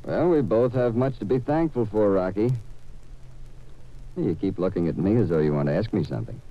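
Casting: rich urbane lawyer, deep voice, measured delivery, vintage radio drama, muffled recording. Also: male voice, expressive. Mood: confiding. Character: wealthy, urbane, self-assured male attorney. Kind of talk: 1950s radio drama acting. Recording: dull old-time radio recording.

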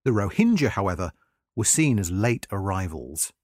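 A man reading a scripted article aloud as narrator, in a measured, formal and articulate manner. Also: fairly low pitch, very animated, a little mellow, no room sound, studio quality.

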